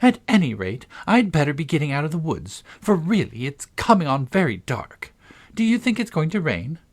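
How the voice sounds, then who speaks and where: Man acting young storybook girl, gentle, earnest, sensitive, raised swooping pitch. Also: big pitch swings, normal speed, husky, exaggerated voice.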